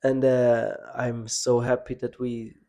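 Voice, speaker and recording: A man from Switzerland hosting a podcast, talking in a reflective, halting way with many restarts. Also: mid-range voice, little pitch movement, warm tone. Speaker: podcast host. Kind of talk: casual personal conversation.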